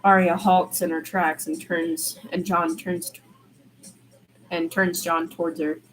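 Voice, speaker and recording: A woman's voice: lowish voice, little pitch movement, measured pace, slight background noise, rough recording. A woman reading fiction aloud as an amateur narrator, sometimes stumbling and rereading phrases.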